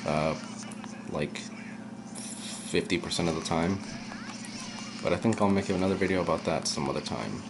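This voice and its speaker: A young man giving casual, rambling commentary while playing a video game, his voice low and at times monotone. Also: measured pace, gravelly, long pauses, background noise. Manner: rambling, chatty, drifts off topic. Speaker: let's play gamer. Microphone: camera aimed at the game screen.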